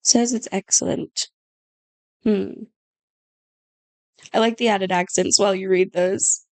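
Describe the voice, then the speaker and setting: A woman's voice: mid-range voice, fairly even intonation, slow, darkish tone, no echo. A woman streaming a game, chatting casually and joking about how silly her reading voices sound. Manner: self-mocking, chatty, laughs at her own accents. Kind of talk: casual live-stream chat while gaming.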